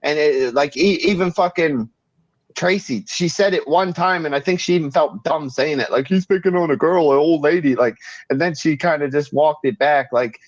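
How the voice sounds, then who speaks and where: Through a muffled, dry microphone comes a man with a fairly high voice. He hosts in a casual, ranting way, blunt and full of swearing, often circling back over his own words. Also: normal speed, no room sound. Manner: blunt, swearing, repeats himself. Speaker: host. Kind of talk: casual chat, ranting commentary. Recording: dull lo-fi mic audio.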